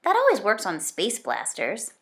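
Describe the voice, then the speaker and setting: A woman's voice: mid-range voice, wildly swooping pitch, moderate pace, fairly bright tone. A woman voicing an anxious, eager young boy in a children's storybook. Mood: tentative. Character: young boy narrator, anxious, eager space-show fan.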